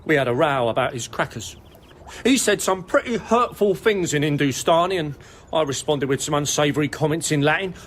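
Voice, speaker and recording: An obstinate, lovesick shopkeeper in radio comedy. The voice sits high and is very animated, pleading and quibbling in earnest, over faint background noise. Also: male voice, brisk. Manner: pleading, stubbornly pedantic. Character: lovelorn, obstinate male shopkeeper, absurdly earnest. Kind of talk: British radio comedy acting.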